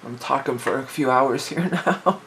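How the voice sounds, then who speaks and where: A woman with a raspy, sore-throated voice, chatting loosely through a casual tarot reading, with asides and restarts. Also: extremely low pitch, normal speed, fairly bright tone, slight background noise. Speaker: tarot reader, channel host.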